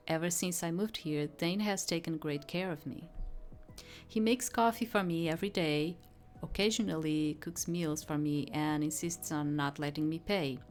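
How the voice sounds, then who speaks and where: A woman reading a visual novel aloud and commenting casually, sometimes stumbling over her words. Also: low-pitched. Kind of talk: read-aloud story narration with casual commentary.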